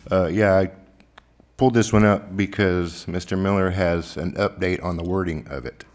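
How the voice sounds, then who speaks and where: A councilman with a very deep, low voice speaks up about an agenda item. He asks plain, direct questions. He is speaking in a council chamber. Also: fairly even intonation, normal speed, a little mellow. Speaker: council member. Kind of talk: council meeting remarks.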